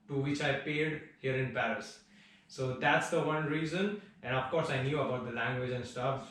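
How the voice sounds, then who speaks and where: A man in his twenties from India, chatting casually as an interviewee, relaxed and hesitant with lots of fillers and restarts. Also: mid-range voice, recorded from a distance. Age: 20s. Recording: echoey room, voice off-mic.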